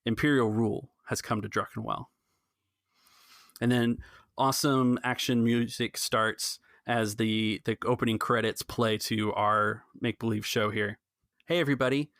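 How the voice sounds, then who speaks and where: Male game master, medium pitch, dry recording. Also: fairly even intonation, normal speed, a bit bright, dry recording. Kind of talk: scripted story narration for a tabletop game.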